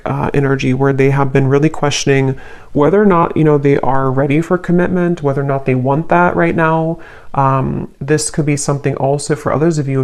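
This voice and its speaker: A woman giving a casual tarot reading as host, musing aloud in a halting, tentative way. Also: rumbling low, a little mellow. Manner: halting, musing, hedging delivery. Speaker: tarot reader, channel host.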